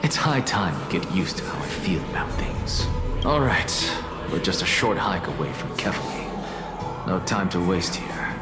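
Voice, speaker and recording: A man's voice: low-pitched, big pitch swings, moderate pace. An actor voicing a dry, curt, skeptical male scholar near death in a video game. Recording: echoing voice under loud music.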